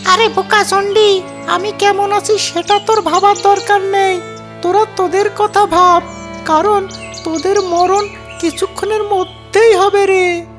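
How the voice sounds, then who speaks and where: Very high-pitched greedy cartoon fox. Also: steady tone, unhurried. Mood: scornful, threatening. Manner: gloating, blustering, scornful. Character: sly greedy bullying fox villain. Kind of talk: children's cartoon story character acting. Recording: loud music behind.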